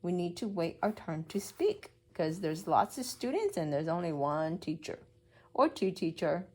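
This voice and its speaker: A woman's voice: lowish voice, sing-song, a little slow, slightly rough. A woman preschool teacher reading a story aloud to young children, explaining as she goes and asking simple questions.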